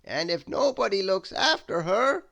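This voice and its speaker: A woman voicing a mysterious wizard in a storybook, slow and solemn. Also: mid-range voice, expressive, creaky, fairly bright tone, pitched above the performer's own voice, heavily acted.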